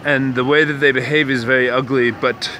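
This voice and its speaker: A man's voice: medium pitch, fairly even intonation, a little fast, pushed and bright. A young man from Canada hosting a casual walking vlog, musing aloud with many fillers and restarts. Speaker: vlog host. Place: outdoors on city streets. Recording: outdoor street noise.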